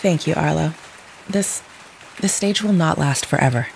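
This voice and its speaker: A woman's voice: sits low, moderate pace, vocal fry, low-quality recording. Casting: formal, literal-minded woman caregiver, stilted and exact diction, audio drama, faint rain behind. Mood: earnest, pleading. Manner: stilted, precise, clinical phrasing without contractions. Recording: steady rain noise behind the voice.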